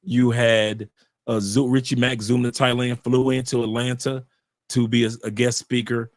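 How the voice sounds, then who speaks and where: A man's voice: medium pitch, flat delivery, normal speed, no room sound. A man, a guest on an online show, talking casually and animatedly, repeating phrases and restarting sentences as he tells stories. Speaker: guest, YouTube channel creator and author. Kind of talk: casual conversational interview. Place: home in Colombia.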